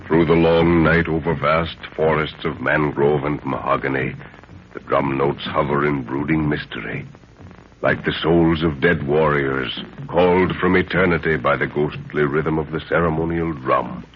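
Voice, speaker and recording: A male announcer with a deep voice narrates in the dramatic style of 1930s radio. His delivery is portentous and theatrical, heard through a muffled recording with faint noise. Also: steady tone, moderate pace.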